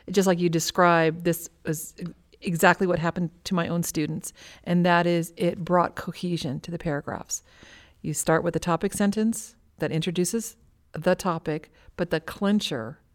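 A woman hosting a podcast, speaking articulately in conversation and putting questions to her guest. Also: lowish voice. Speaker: podcast host and interviewer. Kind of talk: hosted podcast conversation, reading listener questions.

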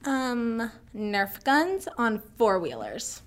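A talkative, eccentric teenage girl in a classroom radio drama answers slowly and hesitantly. Her voice is high and swings in a lively melody. She draws out each word. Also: full character voice. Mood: eager.

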